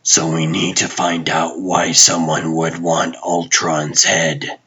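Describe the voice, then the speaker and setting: A cool, unhinged comic-book vigilante, played by a man, speaking low and husky in clipped deadpan. He sounds terse and gruff, taking his time over short lines. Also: slow, dull audio, heavily acted.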